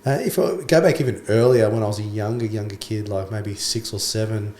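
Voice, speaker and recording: A man who has lost his voice and sounds croaky, chatting casually as a guest worship pastor, calling people mate and bro. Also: on the low side, a little fast, a little mellow. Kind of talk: casual podcast conversation. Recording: echoey room, faint background noise.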